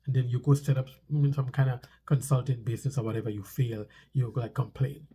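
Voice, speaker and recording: A man's voice: medium pitch, steady tone, fast talker, dark tone, husky. A man with a stuffy nose talks casually to viewers as a vlog host, explaining things conversationally and repeating phrases for emphasis.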